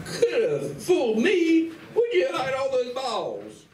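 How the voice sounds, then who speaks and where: A man's voice: very high-pitched, huge pitch swings, slow, a little mellow, heavily acted. A man playing a vain, image-obsessed animal celebrity in a radio play, bickering and sometimes flustered. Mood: indignant. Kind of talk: live convention radio play reading. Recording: distant, echoey convention room.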